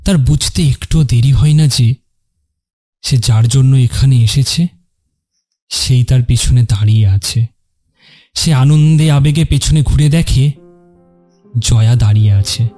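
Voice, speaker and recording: A man narrating a scripted dramatic story in measured, separate phrases. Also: medium pitch, mellow. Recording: music under the narration.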